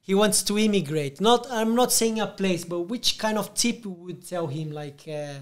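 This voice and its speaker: Brazilian man with a high voice. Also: lively intonation. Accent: Brazilian Portuguese. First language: Portuguese. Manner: halting, restarts often. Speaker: podcast host. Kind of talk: casual interview.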